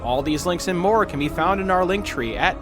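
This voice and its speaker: A man's voice: high voice, animated, a little fast, music in the background. A man reading a scripted podcast promo as its announcer.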